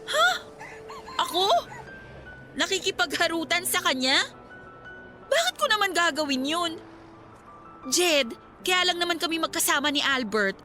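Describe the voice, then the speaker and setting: A woman's voice: very high voice, very animated, unhurried, pauses often, pushed and bright, faint music behind, full character voice. An actress playing a distressed young female student pleading with her boyfriend in a whiny way, in a radio drama. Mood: defensive, pleading. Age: young adult.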